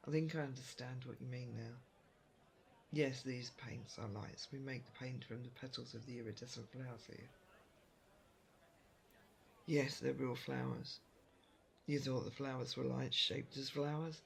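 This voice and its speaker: A man's voice: mid-range voice, creaky, a bit bright. A man playing a curious, helpful storekeeper from another world, easygoing and explanatory in an audio roleplay. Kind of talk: roleplay audio drama addressed to the listener.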